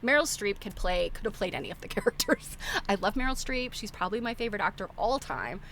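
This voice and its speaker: A woman's voice: mid-range voice, sing-song, fast talker, fairly bright tone, a little hiss or hum, low-quality recording. A middle-aged woman hosting a livestream, chatting informally and giving opinionated, rambling film commentary. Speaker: livestream host. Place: live internet stream.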